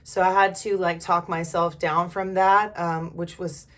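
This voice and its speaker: A middle-aged woman talks in a somewhat low voice, hoarse from being sick. She tells her own story in a loose, conversational vlog style. Her delivery is frank and informal, with mild cursing. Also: little pitch movement, unhurried, fairly bright tone. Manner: candid, informal, mildly profane. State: hoarse from a recent illness. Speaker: vlogger. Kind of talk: casual personal storytelling.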